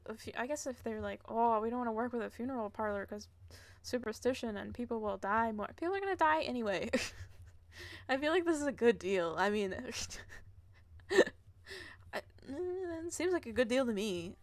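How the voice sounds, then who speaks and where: Giggly high-voiced woman streamer, very lively. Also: moderate pace, no echo. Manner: giggly, gushing, reacting aloud. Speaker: game streamer. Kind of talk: casual live gameplay commentary. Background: game music.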